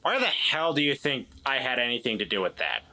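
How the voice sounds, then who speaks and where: A man's voice: medium pitch, sing-song, brisk, pushed and bright, full character voice, poor audio. A man playing a defensive, evasive and distrustful man in a tabletop drama, stammering and repeating himself as he explains. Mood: defensive, irritated.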